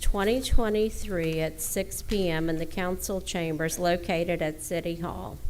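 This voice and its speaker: A woman chairing a city council meeting in a brisk, procedural way. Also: lowish voice, slight background noise, poor audio. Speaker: presiding council official.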